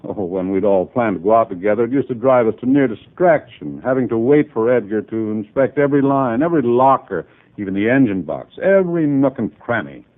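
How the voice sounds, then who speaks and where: A man's voice: mid-range voice, expressive, fairly quick, natural voice. An actor playing a proper, defensive businessman who is easily affronted, in formal old-time radio style. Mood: earnest, insistent. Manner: formal, indignant. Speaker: supporting actor in a radio drama. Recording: tinny, band-limited old radio recording.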